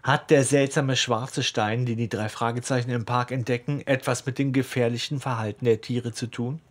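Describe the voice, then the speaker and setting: Male podcast co-host, medium pitch, casual discussion style. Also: fairly even intonation, normal speed. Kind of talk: casual podcast discussion with some reading aloud.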